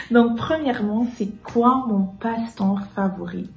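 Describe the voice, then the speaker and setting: A woman's voice: on the high side, takes it slowly, vocal fry. Young Congolese woman in a roomy echo. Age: 20s. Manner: relaxed, mixes in English phrases, laughs easily. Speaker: guest. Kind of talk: casual testimony to camera.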